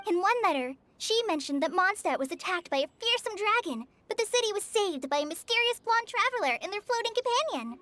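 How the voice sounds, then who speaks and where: A woman's voice: very high-pitched, a bit bright. A woman voicing a shy, earnest teenage girl ranger in an anime-style video game, speaking politely with a hesitant, apologetic manner.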